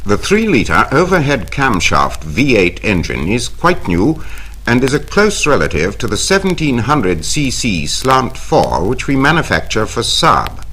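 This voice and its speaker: A British man reading a scripted instructional narration in a formal, precise, measured way, from a 1970 vinyl record soundtrack. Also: mid-range voice, fairly bright tone, a little hiss or hum. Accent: British English. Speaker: training film narrator.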